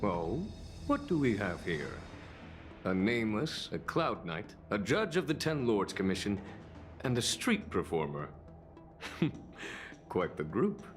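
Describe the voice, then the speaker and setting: An actor playing a composed, courteous military general who speaks in a measured, dryly amused way. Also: male voice, fairly low pitch, very animated, soft background music. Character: calm, composed male general, courteous and wry. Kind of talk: game cutscene voice acting.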